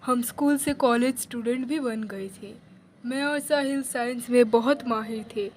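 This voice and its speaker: A woman's voice: fairly high pitch, measured pace, a little hiss or hum, poor audio. A woman giving scripted first-person narration of a cartoon story in the role of a young man.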